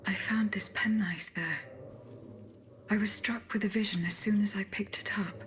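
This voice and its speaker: A woman voicing a courteous female automaton bodyguard in a video game, speaking in a measured, formal and restrained way. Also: mid-range voice, steady tone, a bit bright, natural voice. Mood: composed, formal. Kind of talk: video game cutscene acting.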